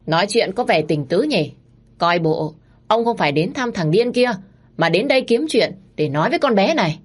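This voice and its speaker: Casting: shrill jealous house mistress, played by a woman, husky, scolding. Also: sing-song, racing. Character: jealous sharp-tongued middle-aged mistress of the house.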